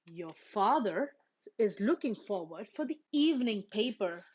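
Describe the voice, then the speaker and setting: A woman's voice: mid-range voice, lively intonation, a little slow, darkish tone, slightly rough. A woman voicing a strict, easily exasperated mother bear in a children's storybook, firm and scolding. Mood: stern. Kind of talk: children's storybook read-aloud. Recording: dull, lo-fi sound.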